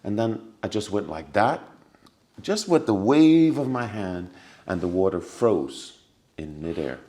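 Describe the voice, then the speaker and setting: A man with a very low, deep voice shares a personal story as a podcast guest. He talks casually and acts scenes out with little sound effects and bursts of laughter. When he repeats what was said, he sinks into a hushed, quiet tone. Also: expressive, unhurried. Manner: drops to a hushed, quiet voice for remembered lines.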